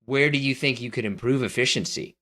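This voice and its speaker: A man's voice: mid-range voice, fairly quick, pushed and bright. A man co-hosting a casual podcast chat, teasing his partner and handing out scores. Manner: teasing, judging and rating his co-host. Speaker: podcast co-host.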